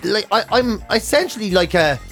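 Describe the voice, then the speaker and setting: A man's voice: high-pitched, expressive, moderate pace, a bit bright, soft background music. An Irish man co-hosting a casual podcast, swearing freely as he rants with comic exaggeration and acts out bits of dialogue. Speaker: podcast co-host and radio presenter.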